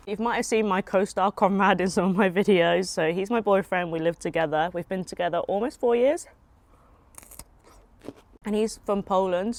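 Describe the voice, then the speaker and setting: Chatty young woman, low dry voice. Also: gravelly, dry recording. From Japan. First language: Japanese. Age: 20s. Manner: relaxed, chatty, pauses to eat. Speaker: food vlogger. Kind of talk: casual eat-with-me chat. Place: outdoors.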